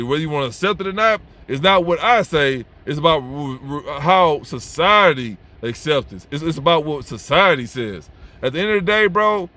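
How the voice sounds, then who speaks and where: A man's voice: on the high side, sharp tone. A young man, talking to camera in a blunt, repetitive, slangy way and saying "bro" a lot. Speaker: vlogger giving advice. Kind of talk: blunt unscripted monologue to camera.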